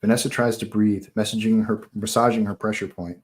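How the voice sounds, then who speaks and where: Low-voiced man narrating a horror script. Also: fairly even intonation, a little fast. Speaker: narrator reading stage directions. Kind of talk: scripted narration at live table read.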